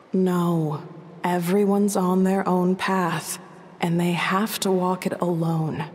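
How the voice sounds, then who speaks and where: An actress playing a gentle, wistful yet resolute woman in a video game, measured and reflective, with long reverb on her voice. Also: on the low side, husky, exaggerated voice. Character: gentle, wistful woman giving parting advice, calm and resolute. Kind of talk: scripted video game dialogue. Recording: long reverb over background music.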